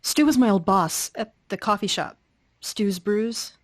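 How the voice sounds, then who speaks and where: A woman voicing an earnest, apologetic female survivor in an improvised tabletop role-play, speaking haltingly. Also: medium pitch, no echo, close to an everyday voice. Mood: hesitant, apologetic. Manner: halting, hesitant.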